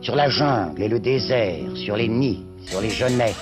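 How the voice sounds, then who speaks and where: A man reciting a poem in a slow, solemn, measured way. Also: lowish voice, animated. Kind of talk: poetry recitation over music. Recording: loud music underneath.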